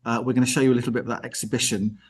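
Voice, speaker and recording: A man's voice: medium pitch, flat delivery, rapid-fire, slightly rough. A man hosting an informal online arts show, speaking enthusiastically with rambling, chatty asides. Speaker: host.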